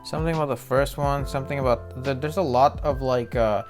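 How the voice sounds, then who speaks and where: A man's voice: medium pitch, fairly even intonation, fairly quick. Rambling, slangy man reacting on video. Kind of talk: casual, unscripted reaction commentary. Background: music behind the voice.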